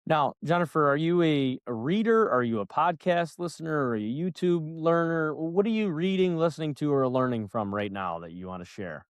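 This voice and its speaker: A man with a Northern New York accent hosting an interview podcast, relaxed and chatty as he asks questions. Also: fairly high pitch, normal speed, hardly any pauses, clean tone, dry recording. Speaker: podcast host, loan officer.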